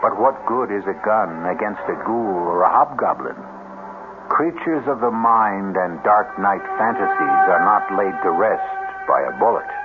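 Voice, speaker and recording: Low-voiced male suspense radio host. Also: animated, loud music behind the voice. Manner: ornate, dramatic, deliberate phrasing. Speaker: radio show host and narrator. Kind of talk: theatrical scripted suspense narration. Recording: tinny band-limited radio, clear noise.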